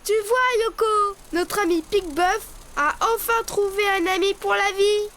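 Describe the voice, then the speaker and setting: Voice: very high-pitched, moderate pace. An actor playing a friendly young child who explains drawing step by step in a preschool cartoon. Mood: gentle, helpful. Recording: loud music behind the voice.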